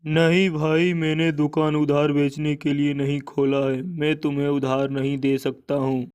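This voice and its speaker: A man's voice: on the high side, level tone, a little slow, darkish tone, smooth, close to an everyday voice. A man voicing a blunt, businesslike village seed trader in a Hindi story cartoon, with a direct manner. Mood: curt refusal.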